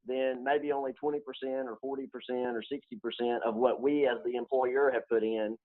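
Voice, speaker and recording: A man's voice: mid-range voice, fairly even intonation, a little fast. A man giving explanations as an interview guest, heard over a tinny, narrow line. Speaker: guest investment advisor. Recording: tinny, band-limited phone-like line.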